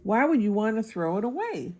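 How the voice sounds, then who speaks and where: A woman's voice: fairly high pitch, very animated, a little fast, darkish tone, a little hiss or hum, pitched above the performer's own voice. A woman voicing a grouchy, scornful male trash-can monster in a children's picture book, curt and grumbling.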